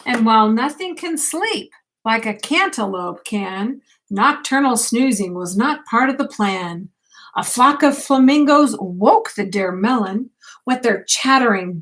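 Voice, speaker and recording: An older woman, a grandmother, reading a picture book aloud at bedtime, expressively, with gentle questions to a child. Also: fairly high pitch.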